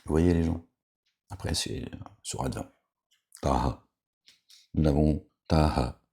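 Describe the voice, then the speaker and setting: Very deep-voiced man preaching casually. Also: takes it slowly, vocal fry, warm tone. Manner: rambling, repetitive, frequent fillers and asides to listeners; preachy, admonishing. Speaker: religious commentator reading scripture. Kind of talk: informal spoken sermon with scripture reading.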